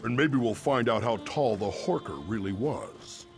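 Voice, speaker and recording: A man playing a dry, mocking adventurer in a video game, deadpan and unimpressed. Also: medium pitch, measured pace, vocal fry, soft background music. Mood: sardonic. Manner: sardonic, deadpan. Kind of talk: video game character acting.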